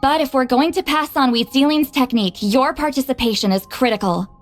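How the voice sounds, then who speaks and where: A woman's voice: high voice, a little fast, natural voice. A woman playing a confident, aloof young female shaman in an anime-style video game, composed and dry. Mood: assured. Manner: composed, dry, self-assured. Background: faint game music.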